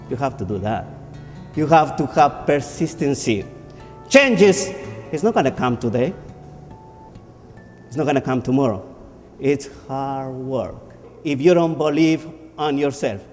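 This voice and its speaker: A man's voice: mid-range voice, darkish tone, long reverb tail. A man from Ecuador, giving a motivational speech as emphatic storytelling with repeated phrases. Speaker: motivational speaker.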